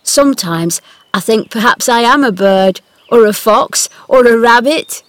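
A woman voicing a cheerful, gentle country boy who loves animals, with louder, brighter delivery than her narration. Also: fairly high pitch, animated, measured pace, dry recording, voice pushed up. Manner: warm and gentle.

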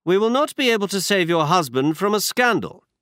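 A man's voice: high-pitched, big pitch swings, a little fast, a bit bright, smooth, no room sound, studio quality, pitched above the performer's own voice. A man voicing a cool, insistent male detective in a storybook reading, firm and pressing.

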